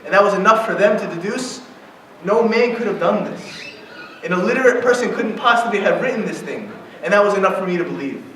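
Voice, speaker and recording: A high-voiced man gives a relaxed religious lecture, checking in with his listeners as he goes. He sits well back from the microphone. His voice rings through a very reverberant hall, with a faint hiss of noise underneath. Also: a little fast. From United States. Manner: conversational, often checks in with a questioning "right?". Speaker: guest lecturer at an Islamic center. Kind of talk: informal religious talk to young adults. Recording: very echoey hall, distant mic.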